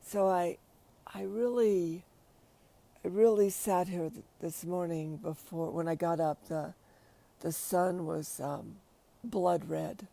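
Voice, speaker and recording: Raspy, gravelly, halting woman. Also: on the low side, fairly even intonation, dark tone, no room sound. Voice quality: raspy after covid. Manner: hesitant, halting, many restarts. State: short of breath at times. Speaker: livestream host. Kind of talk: casual spoken reflection.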